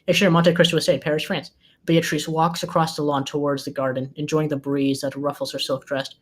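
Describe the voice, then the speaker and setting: Narrator for a film table read, medium pitch, scripted scene descriptions and action lines. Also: little pitch movement, brisk. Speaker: stage direction narrator.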